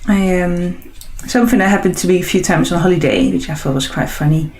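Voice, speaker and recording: A woman's voice: lowish voice, brisk, a little mellow. A woman with a Dutch accent, an artist and host chatting casually while she sketches, musing and meandering with frequent ums and restarts. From Netherlands.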